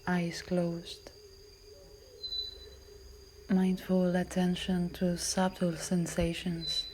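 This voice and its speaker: A woman yoga instructor guiding a class in a calm, measured, soothing voice that is soft and at times breathy. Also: lowish voice, a little hiss or hum. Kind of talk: guided yoga and relaxation instruction.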